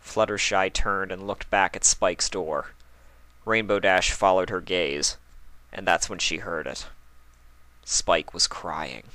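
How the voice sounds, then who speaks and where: Man narrating a story, fairly low. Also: steady tone, slow pace, sharp tone, no room sound.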